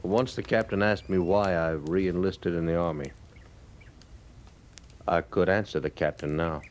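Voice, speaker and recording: Deep-voiced, humbled cavalry sergeant. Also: male voice, steady tone. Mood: humble, halting. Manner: halting, deferential, formal. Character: humbled, earnest male cavalry sergeant, formal and contrite. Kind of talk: 1950s radio western acting. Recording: noisy vintage radio recording.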